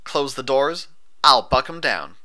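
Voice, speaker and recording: A man's voice: medium pitch, big pitch swings, measured pace, sharp tone, pitched above the performer's own voice, heavily acted. A man voicing a feisty, blunt female farm pony with folksy, defiant talk in an audiobook story. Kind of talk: audiobook character voice.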